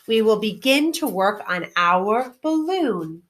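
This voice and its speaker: Woman reading as Oz, an animated humbug wizard. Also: medium pitch, takes it slowly. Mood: confiding. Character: Oz, friendly confessed humbug wizard, grown man. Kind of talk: children's storybook read-aloud.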